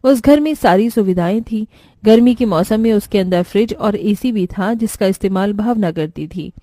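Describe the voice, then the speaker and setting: A woman's voice: medium pitch, moderate pace, warm tone, dry recording. A woman narrating a scripted children's story.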